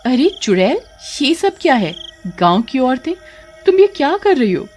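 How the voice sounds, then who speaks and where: Woman playing a confident village wife in a story, assertive, expressive, soft music behind. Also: high-pitched, normal speed, pitched above the performer's own voice. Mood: shocked.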